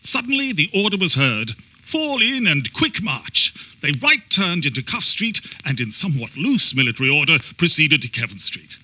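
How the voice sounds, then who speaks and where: A man's voice: fairly high pitch, very animated, moderate pace, pushed and bright, low-quality recording. A man reading scripted historical narration.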